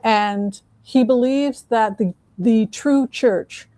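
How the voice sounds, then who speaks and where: A woman hosting a casual livestream as a psychic reader, talking in a halting, repetitive way. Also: fairly high pitch, warm tone, no echo. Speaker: host, psychic tarot reader.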